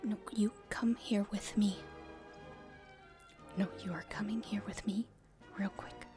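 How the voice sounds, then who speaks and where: A woman playing a nervous, polite young princess in an audio roleplay, speaking in a genteel, breathy way. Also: mid-range voice, steady tone, measured pace, music in the background, natural voice. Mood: urgent, bewildered. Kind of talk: roleplay ASMR acting.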